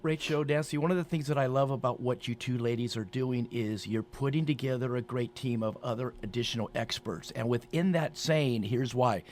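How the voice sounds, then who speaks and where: A man's voice: medium pitch, normal speed, few pauses. A man in his sixties hosting a promotional radio talk show, speaking emphatically and repeating phrases for effect. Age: 60s.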